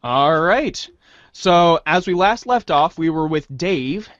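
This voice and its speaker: A man casually hosting a live-streamed reader's theater, talking in a halting, hesitant way. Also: on the high side, expressive, fairly bright tone, dry recording. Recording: dull, dry lo-fi mic.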